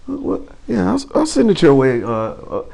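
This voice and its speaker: A man hosting a playful comedic interview, speaking in theatrical, mock-formal phrases with drawn-out hums. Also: a little high, wildly swooping pitch, creaky, mellow, echoey room, a little hiss or hum. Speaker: talk show host.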